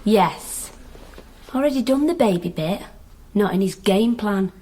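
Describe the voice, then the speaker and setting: Actress as dry, flippant British woman, mid-pitched, brusque and blunt, radio drama sister. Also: lively intonation, a little slow, warm tone, a little hiss or hum, lightly acted. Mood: bitter, flippant. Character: sardonic, blunt, pregnant younger sister, an investigator. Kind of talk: naturalistic radio drama dialogue.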